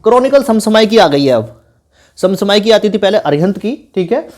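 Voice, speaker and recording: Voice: mid-range voice, lively intonation, normal speed, a little mellow. A speaker giving informal exam coaching advice as an online teacher, in an instructive, repetitive way with frequent check-in tags.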